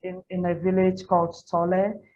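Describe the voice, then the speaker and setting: A woman in her thirties with a Cameroonian accent speaks in a fairly low register. She tells her own story candidly, circling back on phrases to stress them. The sound is slightly dull, with noticeable noise behind her. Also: level tone, moderate pace. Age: 30s. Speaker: interview guest, NGO founder and women's activist. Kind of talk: personal storytelling in an interview. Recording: muffled lo-fi audio with audible background noise.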